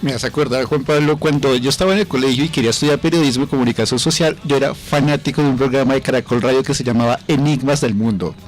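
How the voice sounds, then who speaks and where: A man's voice: medium pitch, quick pace, hardly any pauses. A man co-hosting a radio programme in casual, nostalgic, appreciative chat. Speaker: radio show co-host. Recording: music behind the talk. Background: music.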